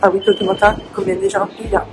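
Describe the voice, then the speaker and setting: A woman's voice: mid-range voice, little pitch movement, fast, background noise. A young woman in her twenties from Italy, speaking with an Italian accent and chatting casually as a vlogger in a language that is not her first, with many fillers. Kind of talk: casual vlog chat.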